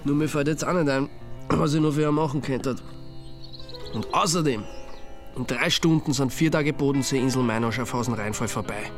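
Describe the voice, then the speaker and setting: An actor playing a wry, resigned young amateur sleuth with an Austrian accent in a naturalistic radio drama. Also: male voice, mid-range voice, normal speed, faint music behind, natural voice.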